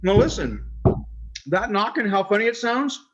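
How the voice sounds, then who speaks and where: A man playing a naive, devoted son in an old time radio horror play, speaking in a halting, stammering, earnest way. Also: sits high, sing-song, fairly bright tone, highs cut off, heavily acted. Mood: anxious, insistent. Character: naive, simple, devoted son pining for missing mother.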